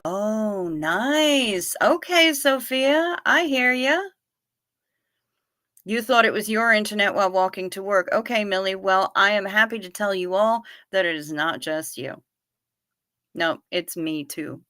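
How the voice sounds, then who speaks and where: Bright-voiced chatty woman in her fifties. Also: mid-range voice, unhurried, no room sound, clean studio sound. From Niagara Falls region. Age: 50s. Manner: chatty and playful, addresses viewers by name. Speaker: livestream host. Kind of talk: casual livestream chat reading viewer comments.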